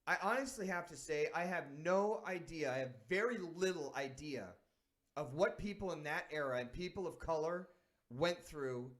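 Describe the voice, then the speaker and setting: A man from San Diego who hosts a sports talk show, speaking with a slightly higher voice. He reflects earnestly and thinks out loud, restarting his sentences as he goes. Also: moderate pace. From San Diego, United States.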